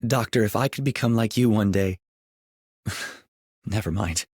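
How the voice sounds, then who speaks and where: Bashful young guy in a game, devoted, humble, lowish voice, close dry sound. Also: measured pace, darkish tone, breathy edge, dry recording. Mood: bashful, admiring. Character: shy, devoted young man, humble and admiring.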